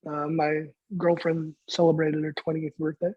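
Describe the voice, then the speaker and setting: A man, co-hosting a sports podcast, his voice a little raspy from a late night, blunt and wisecracking. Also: fairly high pitch, completely flat delivery, normal speed, highs cut off, background noise. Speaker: podcast co-host. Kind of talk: casual sports podcast chat.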